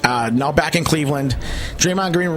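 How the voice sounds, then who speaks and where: A man's voice: on the high side, moderate pace, fairly bright tone. A man in his forties from the San Francisco Bay Area, giving a rambling, opinionated sports rundown on a podcast. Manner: rambling, opinionated, with many fillers. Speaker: sports segment co-host. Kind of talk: casual sports commentary.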